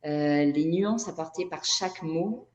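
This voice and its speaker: A woman's voice: low-pitched, a little slow. A woman from Brazil whose native language is Portuguese, speaking reflectively as an interview guest and often searching for her words. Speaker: interview guest, energy therapist and writer. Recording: echoey room.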